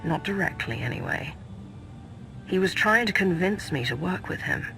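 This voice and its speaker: An actor playing a composed, confident Sith sorcerer in a video game, with a measured, assured manner. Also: male voice, sits high, big pitch swings, vocal fry, music in the background, heavily acted. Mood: grave, troubled. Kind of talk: video game cutscene acting.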